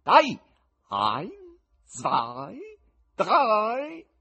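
Beffardo tenore caprino, altissimo. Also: male voice, very high voice, normal speed, voice pushed up. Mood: gleeful commanding. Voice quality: loud, bleating tenor. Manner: insolent, theatrical, exclamatory. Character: brash mocking male stage magician's sidekick with goat-like tenor.

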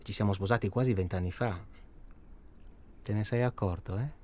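An actor playing a nervous, chatty middle-aged husband in a naturalistic radio drama, hesitant and rambling, repeating himself and seeking agreement. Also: male voice, fairly low pitch, moderate pace, dark tone, slight background noise, lightly acted. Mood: confiding, wry.

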